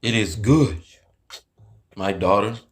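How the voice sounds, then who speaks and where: Man reading as slow, counselling older mother-in-law. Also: fairly low pitch. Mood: gently instructing. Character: older mother-in-law, a woman gently instructing her daughter.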